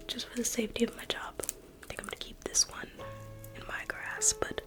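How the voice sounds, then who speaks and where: A woman's voice: fairly high pitch, very animated, fairly quick, over music. Whispering woman playing a museum guide. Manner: playful, teasing salesy whisper. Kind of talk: ASMR roleplay whispering.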